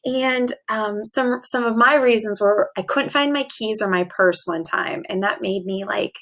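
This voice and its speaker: A woman's voice: medium pitch, a little slow, a bit bright. A woman teacher reading aloud to young children, explaining things simply and expressively and asking them questions.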